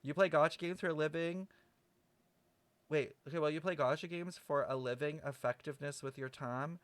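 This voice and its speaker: A man's voice: on the high side, steady tone, normal speed, no room sound. A man streaming a game with casual live commentary, blunt, sweary and dramatic in a sassy way. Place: close, dry microphone on a live stream.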